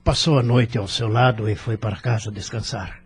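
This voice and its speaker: A man playing a kindly, composed hospital doctor in an audio drama, with a husky voice. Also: lowish voice, fairly even intonation, normal speed. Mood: calm reassurance.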